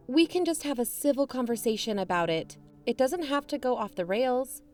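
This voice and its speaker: A woman in scripted audio drama dialogue, conciliatory and pleading, her pitch rising when anxious. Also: expressive, normal speed, darkish tone. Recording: music bed underneath.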